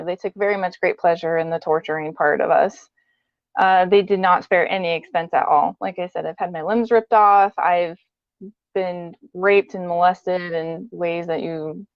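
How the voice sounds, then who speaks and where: A woman's voice: lowish voice, no echo, muffled recording. A woman giving a casual, matter-of-fact personal account as an interview guest.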